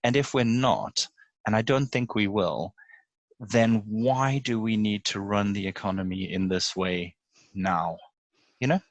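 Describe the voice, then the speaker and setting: Low-pitched man, earnest and opinionated. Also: measured pace, dry recording, lo-fi sound. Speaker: podcast co-host. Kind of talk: casual panel chat.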